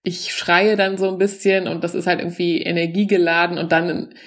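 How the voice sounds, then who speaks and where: Comedian in her forties, relaxed conversational delivery, a touch low in pitch, loose and unpolished. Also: fairly quick. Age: 40s. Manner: loose, chatty, mixes in English words. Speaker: stand-up comedian and guest. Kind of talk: casual interview talk.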